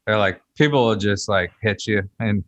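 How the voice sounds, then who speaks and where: A man's voice: fairly low pitch, normal speed, fairly bright tone, no room sound, highs cut off. A man hosting a casual conversation, telling stories in a chatty way with fillers.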